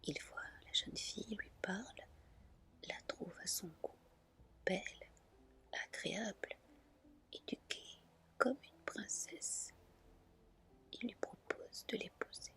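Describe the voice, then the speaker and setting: A woman reading a fairy tale as an ASMR storyteller, in a gentle, soft, deliberate whisper. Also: mid-range voice, gravelly.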